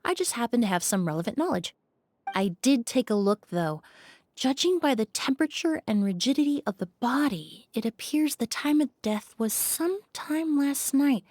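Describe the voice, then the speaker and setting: Composed, higher-pitched young investigator. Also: female voice, dry recording, clean studio sound. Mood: calm, analytical. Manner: calm, polite, measured. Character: composed, gentle young woman investigator, polite and precise. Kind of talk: video game voice acting.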